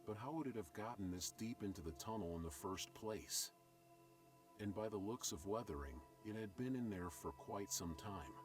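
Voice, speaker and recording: A man's voice: on the low side, expressive, normal speed, a little mellow. A man reading scripted documentary-style narration for a horror video. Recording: distant playback over background music. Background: music.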